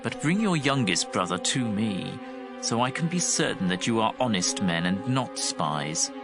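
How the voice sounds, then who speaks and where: A man's voice: lowish voice, very animated, moderate pace. A man playing a humble, honest brother from ancient Canaan in an audio Bible drama, respectful and earnest. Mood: serious, reporting. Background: music.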